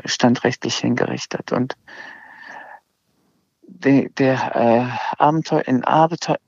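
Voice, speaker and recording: A man's voice: mid-range voice, vocal fry, a little mellow, dry recording. A middle-aged man from Iran, Azerbaijani speaker, telling his story hesitantly in a casual interview, with many restarts and fillers. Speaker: interview guest. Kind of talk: casual conversation. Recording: slightly dull lo-fi sound.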